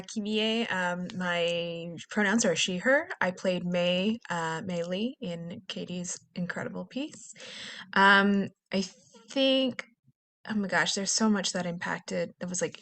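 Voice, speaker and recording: A woman's voice: on the low side, bright, forceful tone. A woman actor talking casually on a panel, effusive and searching for her words.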